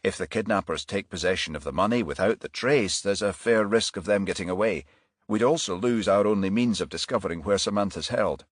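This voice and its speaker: A man voicing a brisk, irritable detective in charge, clipped and commanding, in a crime audiobook. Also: fairly low pitch, breathy edge, dry recording, studio quality. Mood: earnest.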